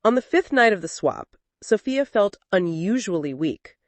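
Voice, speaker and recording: A woman's voice: mid-range voice, big pitch swings, moderate pace, no echo. A woman narrating a scripted dramatic story as a storyteller.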